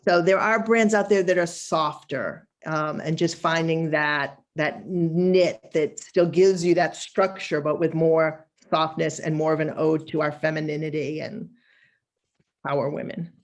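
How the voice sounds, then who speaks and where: A woman's voice: deep voice, steady tone, a little slow. A woman with a Boston accent, a wardrobe stylist talking casually in a live online session, chatty and emphatic, laughing easily. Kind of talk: casual live webinar talk.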